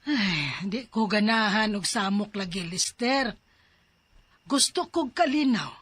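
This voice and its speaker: A woman's voice: medium pitch, lively intonation, takes it slowly, fairly bright tone, slightly rough, no room sound, exaggerated voice. A woman playing a worried, peace-loving middle-aged mother in an audio drama. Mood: worried, pleading.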